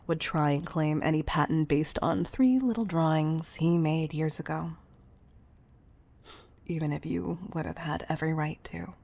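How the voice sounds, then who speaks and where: A woman playing a wealthy, obsessive CEO in an intimate audio roleplay, speaking in a breathy, gravelly murmur that is affectionate and confessional. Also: low-pitched, a little mellow. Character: wealthy obsessive female CEO, tender yet controlling. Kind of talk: intimate audio roleplay monologue, partly whispered.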